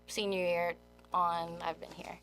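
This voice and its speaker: A woman's voice: fairly low pitch, level tone, unhurried, gravelly, fairly bright tone, low-quality recording. A woman in her twenties who grew up in Germany and South Korea, chatting informally as an interview guest with lots of filler "like". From Germany and South Korea, military child. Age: 20s. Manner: informal, heavy use of "like". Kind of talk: casual chat.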